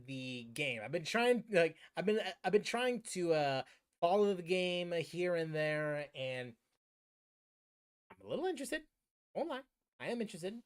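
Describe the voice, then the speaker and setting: A man giving casual live stream commentary as a game streamer, halting and repeating his phrases. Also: sits high.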